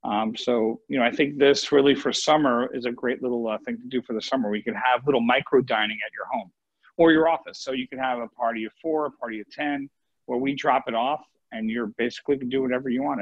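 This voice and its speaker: A male chef talks through a live cooking demo in an informal, halting way, thinking aloud. His voice sits at an average pitch. The sound is dull and close, with no room ring, as on a video call. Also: fairly even intonation, slightly rough, no room sound. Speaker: executive chef giving a cooking demonstration. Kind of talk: casual live cooking demo, question and answer. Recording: muffled dry online video-call sound.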